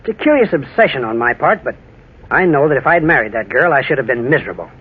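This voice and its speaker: An actor playing an earnest, hesitant, concerned gentleman in a 1940s radio drama, recounting his past. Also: medium pitch, sing-song, fast, husky. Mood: reflective. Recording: tinny old radio recording.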